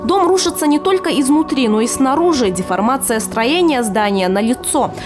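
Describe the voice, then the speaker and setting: A woman's voice: high-pitched, lively intonation, normal speed, over music. A woman reading a scripted news report as a television correspondent.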